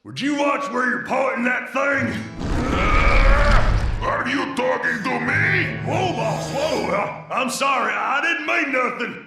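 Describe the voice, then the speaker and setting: A man voicing a jittery, whiny outlaw henchman in an animated game. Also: extremely high pitch, slow, full character voice. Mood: panicked. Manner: folksy, panicky. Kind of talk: animated video game acting. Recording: very echoey, loud music behind.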